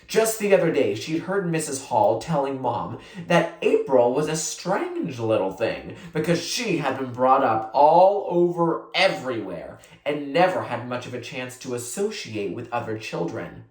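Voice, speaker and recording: Expressive storybook reader in a roomy echo. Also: medium pitch, measured pace, few pauses. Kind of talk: expressive read-aloud narration of a children's novel.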